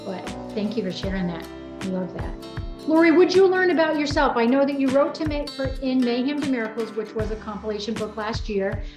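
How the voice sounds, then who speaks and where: A woman's voice: on the high side, animated, a little fast. A woman hosting a casual interview in a chatty, informal, rambling way. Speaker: host. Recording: roomy echo, music behind voice.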